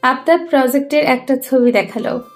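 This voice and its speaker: Female storyteller, high voice, scripted narration over faint music. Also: fairly even intonation, normal speed.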